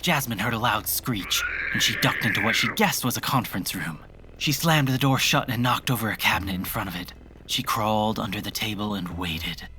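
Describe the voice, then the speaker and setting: Horror story narrator, mid-range voice, measured literary delivery, faint noise behind. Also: medium pitch. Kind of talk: scripted horror fiction narration.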